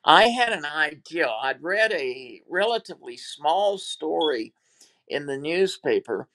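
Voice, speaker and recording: A man's voice: on the high side, very animated, unhurried, pushed and bright, poor audio. An older man with a Texas accent, a former TV producer, recalling his career in an interview with many fillers and restarts. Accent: Texan. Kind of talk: reflective interview talk.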